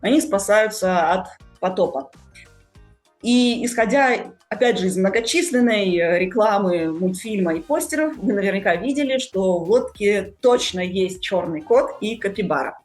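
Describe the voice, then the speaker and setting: A woman's voice: medium pitch, expressive, normal speed, a little mellow, faint music behind. A woman speaking conversationally as a podcast host.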